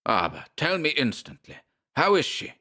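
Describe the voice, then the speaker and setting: A man's voice: fairly high pitch, huge pitch swings, normal speed, pushed and bright, voice pushed up, full character voice. A man voicing a furious little German scientist with a German accent and a shrieking, explosive delivery.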